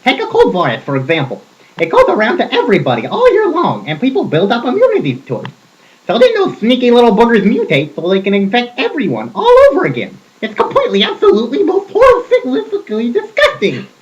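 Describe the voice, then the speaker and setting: A woman's voice: on the high side, huge pitch swings, a little fast, lo-fi sound. A young woman putting on a ditzy, germ-phobic teen girl with a dramatic valley-girl drawl. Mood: disgusted.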